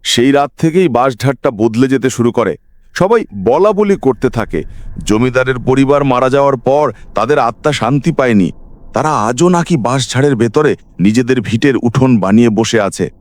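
A man plays an elderly village uncle in a horror tale. He speaks slowly and gravely, as if warning of a curse, with expressive rises and falls in pitch. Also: lightly acted. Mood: grave, ominous. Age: older. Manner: slow, grave, hushed storytelling.

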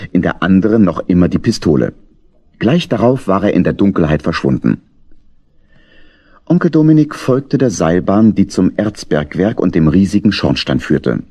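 Male narrator for children's audio story: low, husky, deliberate storytelling. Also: mellow.

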